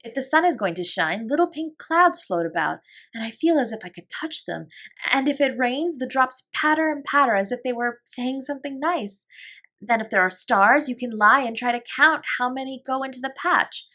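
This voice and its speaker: A woman reading aloud and voicing a gentle, imaginative young girl who speaks softly and wistfully. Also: high voice, animated, normal speed, voice pushed up, full character voice. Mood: dreamy. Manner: soft, wistful, coaxing.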